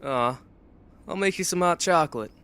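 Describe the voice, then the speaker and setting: A woman's voice: lowish voice, animated, normal speed, fairly bright tone, a little hiss or hum. A woman playing an easygoing, affectionate, teasing housemate in an anime fan audio drama. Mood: concerned, affectionate.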